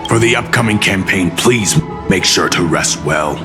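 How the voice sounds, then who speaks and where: A deep-voiced military commander from a video game, acted by a man, fiercely loyal to his ruler. He speaks formally, with lofty disdain for critics, and music plays under the voice. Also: normal speed, close to an everyday voice. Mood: formal courtesy.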